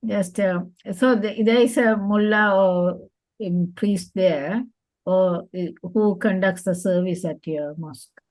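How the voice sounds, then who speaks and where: A woman's voice: medium pitch, slow pace, a little mellow, no echo, poor audio. A woman participant asking a question hesitantly. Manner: tentative questioning.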